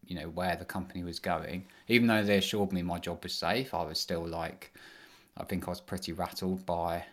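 A man's voice: sits low, moderate pace. A man, a podcast guest, answering casually and loosely with many fillers. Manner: relaxed, rambling with many fillers. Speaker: podcast guest, engineering manager.

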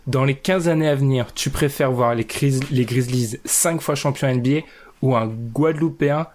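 A man's voice: medium pitch, normal speed, a little mellow, slight background noise. Joking, chatty man hosting a basketball chat. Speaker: podcast host.

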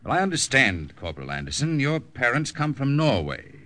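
An actor playing a grave, authoritative officer type in a 1950s radio drama. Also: male voice, mid-range voice, very animated, a little fast. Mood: measured, confidential. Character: grave, authoritative army captain and dramatic announcer. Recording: bright old radio recording, faint music.

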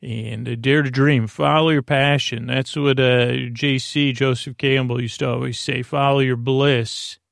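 A man hosting a sleep podcast, wandering through a silly, halting bedtime monologue full of restarts and asides. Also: medium pitch, steady tone, gravelly, dry recording, clean studio sound. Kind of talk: rambling, meandering bedtime monologue.

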